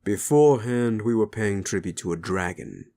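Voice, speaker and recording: A man playing a stern, weary keeper of an underworld club in an audio drama, measured, scolding and authoritative. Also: lowish voice, animated, warm tone. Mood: stern warning. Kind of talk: dramatic audio roleplay acting.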